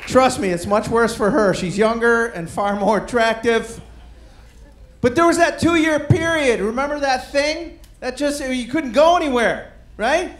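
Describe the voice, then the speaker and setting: A middle-aged man doing a stand-up comedy set on a club stage, deadpan and self-deprecating, with rambling asides. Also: extremely high pitch, unhurried. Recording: roomy live club with crowd noise. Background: audience murmur and laughter.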